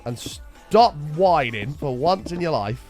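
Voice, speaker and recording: A man streaming a game, chatting casually and wryly with his viewers while his voice is hoarse and sore. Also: a little high, expressive, slow pace, a little mellow, soft background music. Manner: bantering, wry, teasing chat members.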